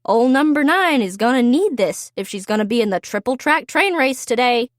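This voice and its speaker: Woman voicing a folksy male train engineer, high-pitched. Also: animated, normal speed, no room sound, clean studio sound, lightly acted. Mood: friendly, proud.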